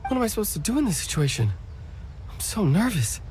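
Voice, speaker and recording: Brief: actor voicing a nervous stall vendor, apologetic and earnest, mid pitch with lively swings. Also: brisk, natural voice. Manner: stammering, apologetic, then earnestly explaining. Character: nervous snack-stall vendor, eager and flustered. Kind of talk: game cutscene voice acting. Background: background music.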